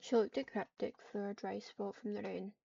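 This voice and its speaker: A woman narrating a roleplay out loud as she plays, with typing and clicking behind her. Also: medium pitch, steady tone, normal speed, creaky, a little hiss or hum. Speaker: online roleplay player. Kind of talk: narrating text roleplay aloud while typing. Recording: muffled lo-fi mic with keyboard clatter. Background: clicks and keyboard typing.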